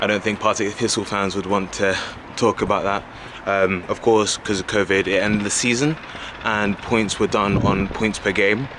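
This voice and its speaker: A young man in his twenties chatting casually and with enthusiasm as he films himself walking city streets, holding the camera at arm's length. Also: on the low side. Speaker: travel vlogger. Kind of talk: casual walking vlog narration. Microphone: handheld camera at arm's length. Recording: loud outdoor traffic noise. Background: loud street and traffic noise.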